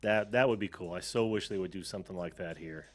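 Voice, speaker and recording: Low-voiced chatty American male host. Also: fast talker, soft background music. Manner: chatty, laughs often, trails off and restarts. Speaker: call-in show host. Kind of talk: casual video call chat. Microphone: video call.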